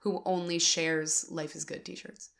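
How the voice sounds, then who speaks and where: A woman's voice: lowish voice, measured pace, gravelly. A young adult woman co-hosting a casual podcast chat, talking candidly with lots of fillers. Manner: candid, animated, many fillers. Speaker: podcast co-host.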